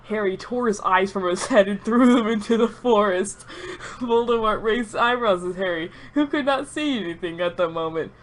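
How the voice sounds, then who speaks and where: A woman's voice: mid-range voice, moderate pace, slight background noise. Female reader, mock-grave storytelling of absurd fan fiction, prone to giggling. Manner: mock-serious storytelling, often breaking into giggles.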